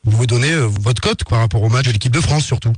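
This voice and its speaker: A man's voice: mid-range voice, fast, slightly rough, no echo, natural voice. A man playing a polite, insistent marketing assistant for a betting company on a prank phone call, coaxing with hesitant sales talk. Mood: negotiating, coaxing. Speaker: prank caller.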